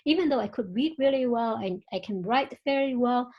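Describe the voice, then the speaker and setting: A woman with a Vietnamese accent speaks over a video call, presenting in an earnest, personal way and leaning on small fillers as she goes. Her voice sits a little high for a woman. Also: a little fast, dull audio. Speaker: company founder and presenter. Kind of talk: webinar presentation.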